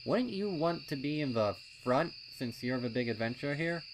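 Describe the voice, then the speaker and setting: Man as a young colt, curt, defensive, impatient, lively pitch, storybook character, night noise behind. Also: normal speed.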